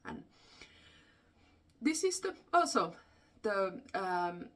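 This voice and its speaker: A woman with a Finnish accent, a native Finnish speaker, telling folklore unscripted in a halting way, searching for her words. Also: mid-range voice, very animated. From Finland. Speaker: storyteller. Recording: distant microphone.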